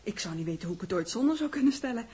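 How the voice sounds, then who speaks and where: Eager, husky-voiced secretary. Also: female voice, a little high, lively intonation, rapid-fire, a little mellow. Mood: chatty, curious. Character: inquisitive, eager young female secretary. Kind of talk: 1960s radio drama acting.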